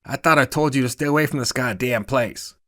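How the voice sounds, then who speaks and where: A man voicing a menacing, foul-mouthed teenage boy bully in a short story, forceful and threatening. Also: mid-range voice, brisk, noisy background, voice pushed up, natural voice. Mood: angry, threatening.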